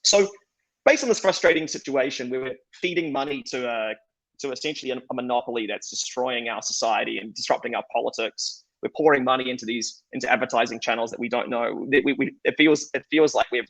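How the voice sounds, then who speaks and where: Fast-talking New Zealand man, gravelly. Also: mid-range voice, a bit bright, dry recording, rough recording. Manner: conversational, self-deprecating, frequent restarts. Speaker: conference speaker. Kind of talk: conference presentation.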